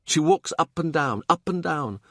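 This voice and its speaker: Man voicing a fretful young noblewoman, lifted pitch. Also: lively intonation, normal speed, fairly bright tone, dry recording, studio quality. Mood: aggrieved, weary. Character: young widowed noblewoman, mother of two, fretful, confiding, wistful.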